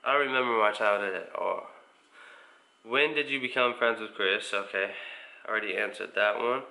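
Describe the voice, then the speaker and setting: A man's voice: lowish voice, moderate pace, gravelly, bright, forceful tone, low-quality recording. A man of about twenty with an American accent, chatting casually to camera as he answers viewer questions in a laid-back, informal way. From United States, mostly Indiana. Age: around 20. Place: small living room at home, indoors.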